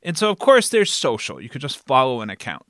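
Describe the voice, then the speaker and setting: A man hosting a conversational podcast interview in an animated way, often checking in with the listener as he talks. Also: medium pitch, brisk, no room sound, studio quality. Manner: animated, frequently checking in with "right?".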